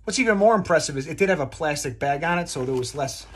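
A man in his twenties telling a casual, comedic story to camera in an animated, exaggerating way, recorded on a phone in a room at home. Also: a little high, fast, slight background noise. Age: 20s. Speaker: vlogger. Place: small dim room at home, indoors.

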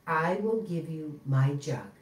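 Gentle young storybook maiden, kind, voiced by a woman reader. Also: deep voice, slow. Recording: roomy echo, far from mic.

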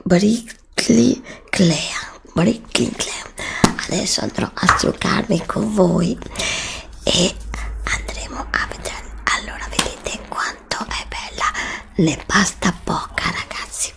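Lady whispering asmr skincare stuff, slow and hesitant, kinda gravelly, right up on the mic. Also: fairly low pitch. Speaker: ASMR creator. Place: home studio with green screen. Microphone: studio desk mic, close.

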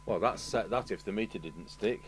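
A man's voice: fairly low pitch, a little fast. A man giving dry, understated, step-by-step commentary as he does hands-on repair work at a workbench. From United Kingdom. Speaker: host, radio repair technician. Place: indoor electronics workbench. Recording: clear background noise with electrical hum. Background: steady hum and noise.

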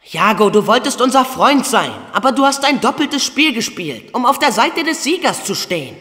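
A man's voice: extremely high pitch, sing-song, normal speed, sharp tone, exaggerated voice. A young man voicing a plucky, kind-hearted teenage boy hero in a video game dub, earnest and spirited, with casual youthful phrasing. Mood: excited.

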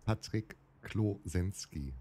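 An absent-minded male police inspector, played by an actor with a very low, dark voice. His delivery swings up and down in a spoof radio play. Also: expressive, slow, breathy edge, exaggerated voice. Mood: distracted. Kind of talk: German audio drama parody acting.

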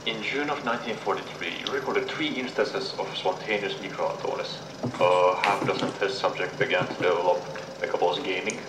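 A man's voice: low voice, fairly even intonation, moderate pace, natural voice. An amateur actor playing a stern male interrogator in a game mod, asking formal, probing questions. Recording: echoey room, clear background machine noise.

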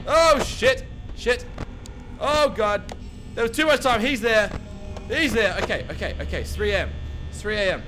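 A young man playing a horror game and recording through a headset at home, muttering to himself and breaking into panicked shouts. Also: very high-pitched, slight background noise, poor audio. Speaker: gaming YouTuber. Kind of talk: horror game let's play. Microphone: over-ear headset.

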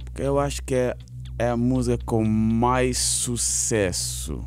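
A man's voice: mid-range voice, measured pace, a little mellow, smooth. A man, a guest chatting casually on a radio show, laughing easily and slipping into English phrases. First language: Dutch. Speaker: guest singer. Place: radio studio. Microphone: studio mic with headphones.

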